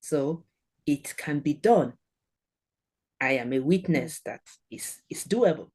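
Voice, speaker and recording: A woman's voice: low voice, animated, slow pace, a little mellow, husky. A middle-aged woman with a Nigerian accent, a guest on a podcast, giving earnest encouraging advice in short phrases. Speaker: guest, German language expert. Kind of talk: podcast interview.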